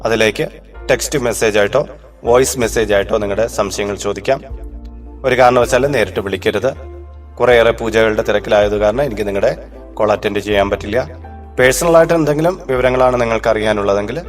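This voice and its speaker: A man's voice: fairly low pitch, fairly quick. Man narrating formal religious discourse over music.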